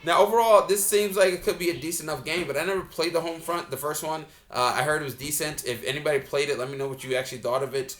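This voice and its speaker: A man's voice: a little high, steady tone, fast, hardly any pauses, a bit bright. A man giving a casual, off-the-cuff reaction commentary as a reviewer, talking in a rambling, blunt way. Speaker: video game trailer reviewer.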